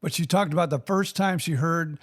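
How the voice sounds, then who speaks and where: A man's voice: fairly high pitch, fairly quick. A man hosting a podcast, chatty and sympathetic with his guest. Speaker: podcast host. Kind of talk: podcast interview.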